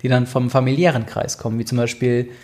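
A young man co-hosting a chatty podcast. He rambles in a relaxed way, stacking fillers and restarts as he thinks aloud. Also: medium pitch, fast. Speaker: podcast co-host. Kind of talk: casual chat.

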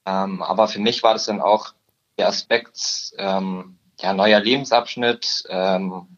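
Male, 19, from Upper Bavaria, halting podcast guest, slightly lo-fi recording. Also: low-pitched, steady tone, a bit bright. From Upper Bavaria, Germany. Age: teens. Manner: hesitant, many "ähm" fillers. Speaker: guest, insurance trainee. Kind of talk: podcast interview.

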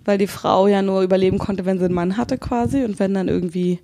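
A woman's voice: medium pitch, fairly even intonation, fast, warm tone. A woman with a Berlin accent, co-hosting a casual podcast chat and speaking bluntly and outspokenly, swearing freely. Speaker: podcast co-host.

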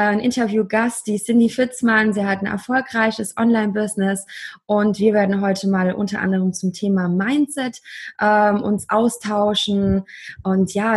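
A woman's voice: a little high, husky. A woman hosting a podcast, interviewing a guest with friendly, encouraging remarks. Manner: friendly, encouraging interviewer, short affirming responses. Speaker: podcast host.

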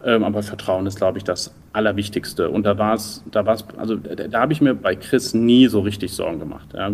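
Low-voiced man from Germany, thoughtful and hesitant. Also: a little hiss or hum. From Düsseldorf, Rhineland, Germany. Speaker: interview guest, entrepreneur. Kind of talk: conversational interview answers.

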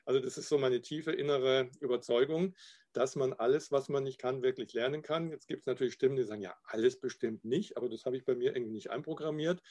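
A man in his fifties from Upper Bavaria with a light Bavarian accent, a guest telling his story in a chatty interview, pausing often with fillers. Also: medium pitch, darkish tone. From Upper Bavaria, Germany. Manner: chatty, hesitant with many fillers. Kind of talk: casual interview.

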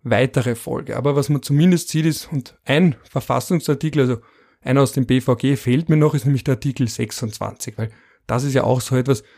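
A man's voice: mid-range voice, brisk, vocal fry, a little mellow. A man hosting a podcast, reading out survey figures and musing on them in a wry, conversational way. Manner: reads out statistics, musing and wry. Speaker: podcast host.

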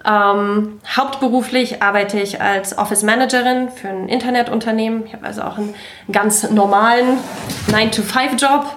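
A woman's voice: a little high, steady tone, normal speed, a bit bright. Chatty woman in her thirties, roomy sound. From Berlin, Germany. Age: 30s. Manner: chatty, frank, self-mocking. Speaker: party volunteer guest. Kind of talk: casual podcast chat. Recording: roomy echoey room.

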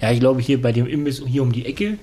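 A man's voice: mid-range voice, lively intonation, fast, dark tone, slight background noise. A man hosting a podcast, chatty and self-deprecating, speaking with a regional dialect. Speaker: podcast host. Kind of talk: casual podcast conversation.

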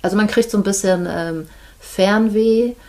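A woman from Hamburg, a midwife, talking in a relaxed interview and pausing often to gather her thoughts. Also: mid-range voice. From Germany, Hamburg. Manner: thoughtful, many hesitations. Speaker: midwife, podcast guest. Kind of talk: casual interview chat.

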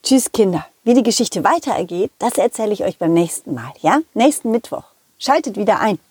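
A woman's voice: medium pitch, very animated, fairly quick, darkish tone, slightly rough, no room sound. A woman voicing a cheerful, loyal young male dog in a children's audio play, fussing eagerly over his friend.

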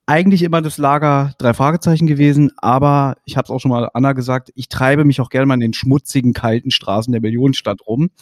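A man's voice: medium pitch, fairly even intonation, fast, hardly any pauses, a little mellow, dry recording. Man in his thirties hosting, dry sound. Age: 30s. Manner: friendly, many hesitation fillers. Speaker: podcast host. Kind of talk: casual podcast interview.

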